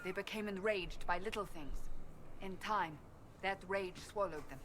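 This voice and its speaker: An actress voicing a proud, earnest warrior woman of the church in a video game, speaking formally and with measured gravity. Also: medium pitch, background noise, natural voice. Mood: grave warning. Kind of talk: video game dialogue acting.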